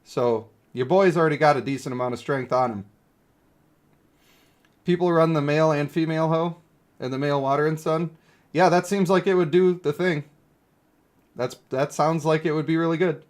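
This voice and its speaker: A man with a slightly higher-than-average voice talks as a game streamer. He moves between reading text aloud and reacting in loose, slangy speech. His delivery is informal and conversational, as if thinking aloud for a live stream. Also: normal speed, long pauses.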